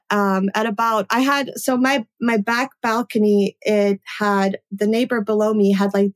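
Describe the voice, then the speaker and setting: A woman in her late twenties, a native Polish speaker, chatting upbeat as a guest about her life. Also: medium pitch. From Poland. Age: 20s. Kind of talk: casual interview conversation.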